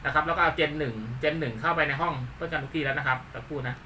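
A man's voice: on the high side, fairly even intonation, very fast, bright, forceful tone. A man from Thailand giving a casual hands-on demonstration as a channel host, talking informally and repeating himself with frequent polite particles. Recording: distant mic with faint background noise.

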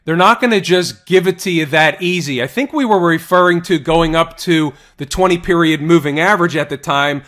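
A man giving an energetic voice-over walkthrough of stock charts, asking rhetorical questions as he teaches. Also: on the high side, fairly quick, few pauses. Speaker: trading educator. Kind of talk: stock market chart analysis. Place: voice-over on screen recording.

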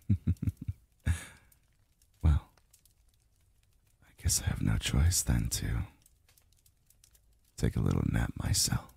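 A man playing a calm, devoted male lover in an intimate ASMR roleplay, murmuring softly and slowly, close to the mic. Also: no room sound, heavily acted. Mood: sleepy, tender. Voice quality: very deep, breathy, gravelly. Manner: slow, soft murmuring with sighs. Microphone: close mic, dry sound.

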